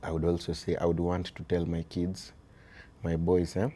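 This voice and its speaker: A man with a Kenyan accent and a very deep voice, talking earnestly as an interview guest about raising his sons, often dropping "you see" and shifting into Swahili. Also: completely flat delivery, normal speed, warm tone, husky. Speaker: guest, father of boys. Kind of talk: reflective conversational interview.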